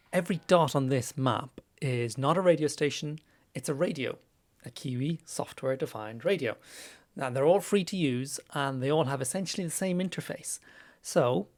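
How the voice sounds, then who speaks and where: A man presenting a casual, conversational screen-share tutorial in an informal way, with small hesitant asides. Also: medium pitch. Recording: clear background noise.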